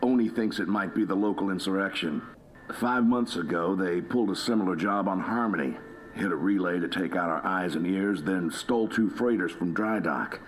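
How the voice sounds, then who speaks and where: A man's voice: deep voice, moderate pace, a little hiss or hum, natural voice, rough recording. A man playing a grave, stern senior military commander in a video game, speaking in a clipped, formal, authoritative way. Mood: stern, urgent. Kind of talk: cinematic video game acting.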